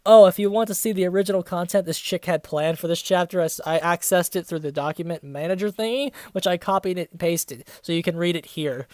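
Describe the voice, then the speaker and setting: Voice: mid-range voice, fairly quick, hardly any pauses, darkish tone, no echo. Reviewer, twenties, mid-range voice, mocking dramatic reader with sarcastic commentary, dry close recording. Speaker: online fanfiction reviewer.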